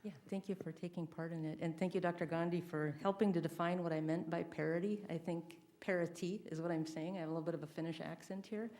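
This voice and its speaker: A woman's voice: fairly low pitch, fairly even intonation, fast talker, hardly any pauses, mellow. Masked woman chairing a board meeting. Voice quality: muffled by a face mask. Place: public school board meeting room.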